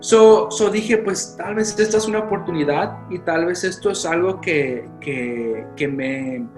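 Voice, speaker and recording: A man's voice: sits high, measured pace, reverberant, music in the background. A man, a youth pastor from Chicago whose first language is Spanish, talking in a casual interview with many restarts and English fillers. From Chicago, United States. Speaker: youth pastor and podcast guest.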